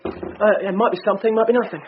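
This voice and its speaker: A man acting as a droll, chatty British police sergeant in a radio crime play. He rattles along quickly on a slightly muffled recording. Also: high voice, fairly even intonation, a little hiss or hum, lightly acted. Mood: droll, eager. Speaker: actor in a radio crime drama.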